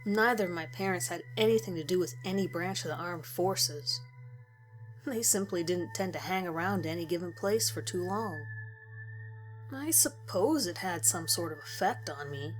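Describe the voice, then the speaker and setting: A woman reads a first-person horror story as narrator, in measured, deliberate phrases with pauses. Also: lowish voice, animated. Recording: music under the voice. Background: background music.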